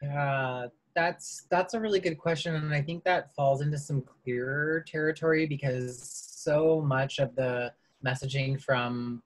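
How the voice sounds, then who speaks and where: A person from Wisconsin speaking loudly and enunciating every word clearly in an earnest workshop discussion on a video call. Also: mid-range voice, rough recording. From Madison, Wisconsin, USA. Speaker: workshop participant.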